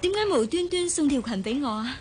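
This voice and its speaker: An actress with a Hong Kong Cantonese accent playing a kind, modest young secretary in a radio drama. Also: female voice, high-pitched, lively intonation, brisk, faint music behind. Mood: polite, modest.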